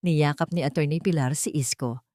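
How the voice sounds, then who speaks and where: Low-voiced Filipino woman narrating a sentimental story. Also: a little fast, warm tone, no room sound, clean studio sound. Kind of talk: scripted narration of a sentimental romantic drama story.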